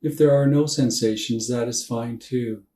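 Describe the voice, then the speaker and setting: Mellow, echoey man guiding meditation. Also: mid-range voice, slight background noise. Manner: calm, unhurried, gentle instruction with long pauses. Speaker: meditation guide. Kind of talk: guided body scan meditation for sleep. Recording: roomy echo, distant from mic.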